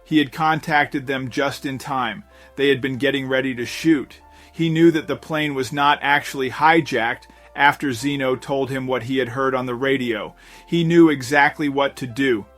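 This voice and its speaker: A man's voice: mid-range voice, normal speed, fairly bright tone. A man narrating a scripted suspense story in a dramatic storytelling manner. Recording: voice-over with music underneath. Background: background music.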